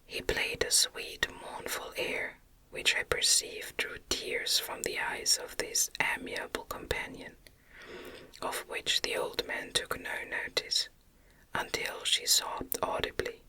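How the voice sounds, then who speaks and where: Slow whispering woman, ASMR reading. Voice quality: breathy, unvoiced whisper. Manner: gentle, unhurried, careful literary reading. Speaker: audiobook narrator, ASMR reader.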